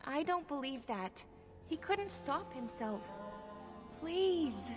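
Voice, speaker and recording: A woman's voice: sits high, very animated, slow pace, music in the background, exaggerated voice. A woman playing a frightened, naive young elven captive in a fantasy video game, pleading and earnest. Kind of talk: dramatic video game acting.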